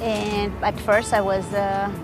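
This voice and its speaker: A woman's voice: medium pitch, flat delivery, slow pace, music in the background. A woman from Honduras, a native Spanish speaker with a Honduran accent, giving a personal interview account.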